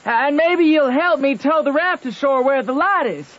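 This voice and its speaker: A man's voice: extremely high pitch, animated, normal speed, fairly bright tone. A man playing a conscience-torn backwoods boy with a Southern American accent and folksy, earnest speech in an old-time radio drama. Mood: nervous. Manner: folksy, earnest, ungrammatical rustic talk. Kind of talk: old-time radio drama, first-person narration and dialogue. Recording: dull old radio recording.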